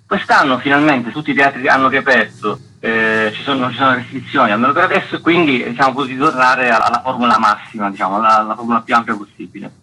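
A man's voice: mid-range voice, fairly even intonation, fast talker, few pauses, sharp tone, slight background noise, poor audio. A man speaking as a festival director, explaining things as a guest in a radio interview.

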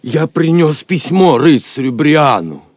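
A man's voice: a little high, animated, a little slow, darkish tone, dry recording. An actor playing a desperate old father in a Russian audio drama, emotional and plaintive. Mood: humble, wary. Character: Isaac of York, desperate pleading old father.

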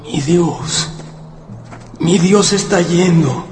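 Echoing, anguished wolf's inner voice. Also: male voice, fairly high pitch, extremely slow. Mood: grieving. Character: fearful devoted wolf, voicing its inner thoughts. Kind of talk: radio drama acting. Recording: echoing voice over clear music.

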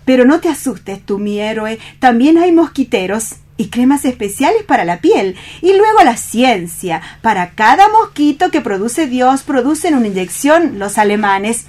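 A woman's voice: a little high, big pitch swings, moderate pace, hardly any pauses, darkish tone. A woman playing a passionate, domineering woman adventurer in a radio play reading, with a theatrical, coaxing manner. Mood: excited, reassuring.

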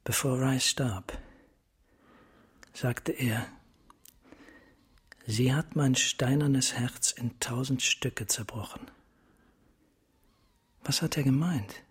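A man playing a grave, resigned police captain in a radio drama, speaking deliberately and formally with a husky, commanding voice. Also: medium pitch, full character voice. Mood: subdued.

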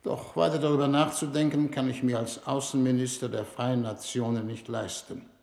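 An actor playing a shrewd foreign minister leading a space mission, speaking in a measured, formal way in a 1960s German-language radio drama. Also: male voice, mid-range voice, fairly even intonation, vocal fry, darkish tone, close to an everyday voice. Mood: probing, ironic. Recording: roomy 1960s radio studio recording.

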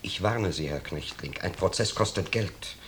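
A man's voice: low voice, expressive, moderate pace, fairly bright tone. An actor playing a pompous middle-aged businessman, fussily polite and self-righteous, in a 1950s German radio drama. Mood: irritated, haughty. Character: pompous middle-aged bourgeois businessman, flustered, falsely genial host. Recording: noisy, clicking 1950s radio recording.

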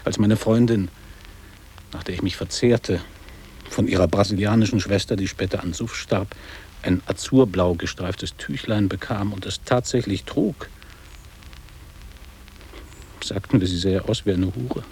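An actor playing a guarded, wistful middle-aged man looking back on boarding school in a measured, literary radio play delivery. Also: lowish voice, a little mellow, breathy edge. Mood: wistful, frank. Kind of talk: German radio play acting.

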